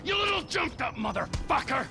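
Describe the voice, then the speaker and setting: Volatile, gravelly, high-strung criminal psychopath. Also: male voice, big pitch swings, moderate pace, full character voice. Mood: furious threatening. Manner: menacing, erratic, swears constantly. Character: volatile, foul-mouthed middle-aged male criminal psychopath. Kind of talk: video game cutscene acting. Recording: game audio with music behind.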